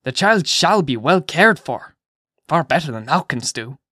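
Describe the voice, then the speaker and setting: A reader voicing a kindly old minister who speaks gently and consolingly. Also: mid-range voice, very animated, unhurried, husky, no echo, clean studio sound, heavily acted.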